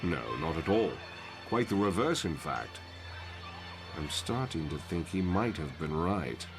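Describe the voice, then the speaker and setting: Man playing a very deep, weary, brooding professor. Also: animated, over music. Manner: brooding, articulate, measured. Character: disillusioned male mutant professor, weary, self-doubting idealist. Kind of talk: motion comic voice acting.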